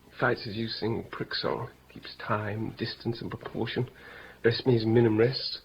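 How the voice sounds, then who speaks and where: Man playing a mocking, flamboyant young gentleman. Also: fairly low pitch, steady tone, normal speed, lightly acted. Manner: bantering, theatrical. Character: witty, mocking, bawdy young gentleman, flamboyant friend of Romeo. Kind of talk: 1950s radio Shakespeare acting. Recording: dull, noisy old radio recording.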